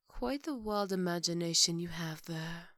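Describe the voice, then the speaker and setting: A woman playing a composed, formal maid to a vampire, polite and gently teasing, in an ASMR roleplay. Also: on the low side, no room sound, clean studio sound, natural voice. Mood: wry, teasing. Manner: polite, measured, wry.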